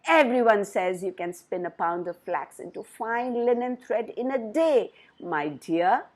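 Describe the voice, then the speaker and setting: A woman's voice: medium pitch, expressive, unhurried. Woman playing a gushing, swooping fairytale queen. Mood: kindly, cooing. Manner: gushing, cooing, imperious. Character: gracious, excitable, insistent fairytale queen.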